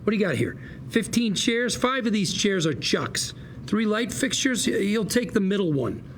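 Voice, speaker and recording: A man's voice: sits high, very animated, moderate pace, fairly bright tone, a little hiss or hum. A man playing a scrappy, sarcastic, combative lawyer at a script table read. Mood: indignant. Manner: sarcastic, needling, theatrical.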